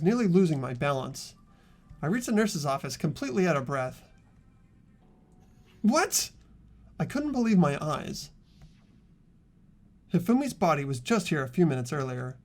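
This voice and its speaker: A performer voicing an earnest, anxious, easily startled teenage boy who narrates an amateur dub of a mystery game. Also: mid-range voice.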